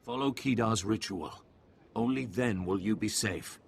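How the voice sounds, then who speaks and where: A man voicing a grave, calm alien mystic in a video game, giving terse, ominous pronouncements in a husky, creaky voice. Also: lowish voice, a little slow, slight background noise. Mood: instructing. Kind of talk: video game cutscene acting.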